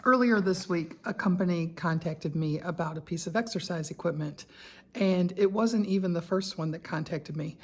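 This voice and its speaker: An older woman, telling a personal story to camera in an easy, conversational way. Her voice sits a little low, and she keeps things chatty and candid, dropping in asides and small laughs. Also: normal speed, darkish tone, soft background music. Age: 60s. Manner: conversational with frequent fillers and asides. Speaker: lifestyle vlogger. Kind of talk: personal reflective vlog.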